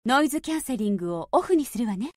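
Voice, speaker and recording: A woman's voice: a little high, expressive, fast talker, no echo, clean studio sound. A woman voicing a confident, mysterious young diva, commanding and teasing, in anime-style device prompts. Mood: cool, confident. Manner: commanding, teasing, self-assured.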